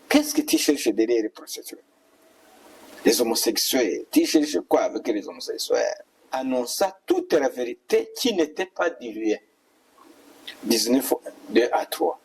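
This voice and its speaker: Male preacher, husky mid-range voice, admonishing and repetitive, recorded away from the mic. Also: mid-range voice, darkish tone, distant mic, low-quality recording. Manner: admonishing, repetitive rhetorical questions. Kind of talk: exhortatory sermon and prayer.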